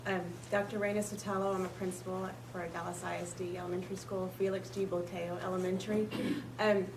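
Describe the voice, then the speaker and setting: A woman's voice: fairly low pitch, level tone, fast talker, very noisy. A woman school principal speaking earnestly on a panel, with light laughs. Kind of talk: panel discussion. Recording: echoey room, far from the mic.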